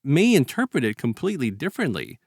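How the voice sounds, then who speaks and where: A man's voice: mid-range voice, wildly swooping pitch, fast, dry recording, studio quality. An American man co-hosting a podcast, talking casually in a reflective, hedging way with wry humor. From South Texas, United States (lives there). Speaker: podcast co-host. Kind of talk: casual discussion.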